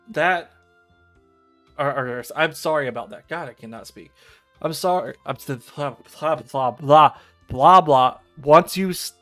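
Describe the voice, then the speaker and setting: A man's voice: fairly high pitch, fairly bright tone. A man reading and talking through a visual novel on a livestream. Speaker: streamer.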